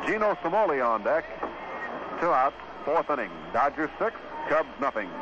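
A man's voice: a little high, very animated, normal speed. An American man calling a baseball game play by play in 1950s radio commentary style. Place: ballpark broadcast. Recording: dull, noisy vintage radio broadcast.

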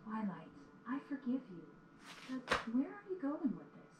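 A woman playing a regal, understanding pony princess mentor in a cartoon audio drama, speaking in a measured, formal way. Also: sits high, big pitch swings. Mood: composed, frank. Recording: muffled, roomy playback with background noise.

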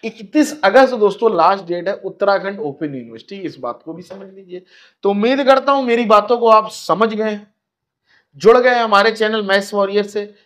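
Guy, pretty high voice, chatty coaching update, keeps checking you get it. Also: lively intonation, normal speed, a bit bright. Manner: conversational, repeats tag phrases to check understanding. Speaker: coaching channel host, education counsellor. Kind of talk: informal admission update to camera.

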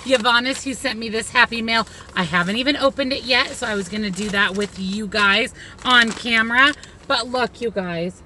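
A woman's voice: a little high, bright, forceful tone, slight background noise, poor audio. A woman in her thirties with an American accent, chatting casually and gushingly to her viewers from inside a car. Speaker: vlogger. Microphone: phone or camera at arm's length.

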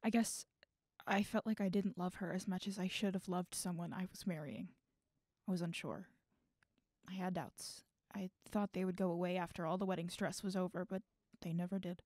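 A woman playing a guarded, regretful, bitter adult in an audio drama, speaking haltingly. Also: lowish voice, steady tone, a little mellow, no echo, clean studio sound. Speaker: voice actor in student audio drama. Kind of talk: naturalistic audio drama dialogue.

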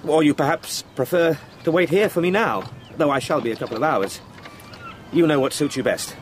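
An actor playing an eager, polite young English solicitor in a radio drama, speaking formally with small hesitant asides. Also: male voice, a little high, brisk, natural voice. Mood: brisk, courteous. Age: young adult. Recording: noisy outdoor sound-effect background.

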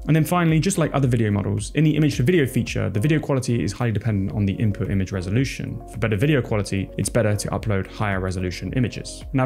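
A man's voice: fairly low pitch, a little mellow. A young man giving a scripted product explainer into a close studio microphone. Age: young adult. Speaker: product video presenter. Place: small home-style studio indoors. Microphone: close desk microphone on boom arm.

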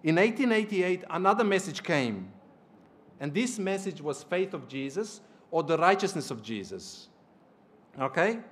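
Higher-voiced man preaching a sermon. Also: sing-song, a little slow, a bit bright. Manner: didactic, checks in with listeners using short questions. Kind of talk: church sermon with read-out scripture and quotations. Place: small church hall, at a lectern.

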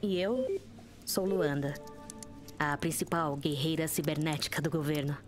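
Blunt, cool young Brazilian woman hacker, matter-of-fact audio drama delivery, slightly low. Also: unhurried, soft background music. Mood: composed narrating. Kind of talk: narration and audio drama acting.

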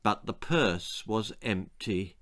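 Expressive older male storyteller. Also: fairly low pitch, takes it slowly. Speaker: author reading own stories. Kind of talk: dramatic children's storybook narration. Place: small book-lined room indoors.

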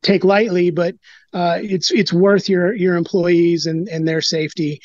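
A brewer in his thirties, giving an interview over a video call and talking in a halting way with many fillers and restarts. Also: sits high, level tone, a little mellow, no room sound. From United States. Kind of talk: casual interview talk. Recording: dry, dull lo-fi video call.

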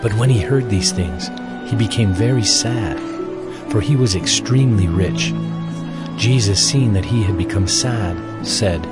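Man as formal audio Bible narrator, solemn, fairly low, measured, heavy music bed. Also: natural voice. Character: solemn male narrator of scripture. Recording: very loud background music.

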